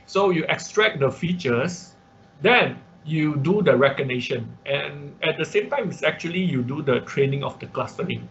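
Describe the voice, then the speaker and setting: A man's voice: on the high side, lively intonation, moderate pace, gravelly, a bit bright. Man teaching online through dull, noisy audio. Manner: frequent tag questions like "okay" and "right". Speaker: online class instructor. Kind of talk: informal explanatory online lecture.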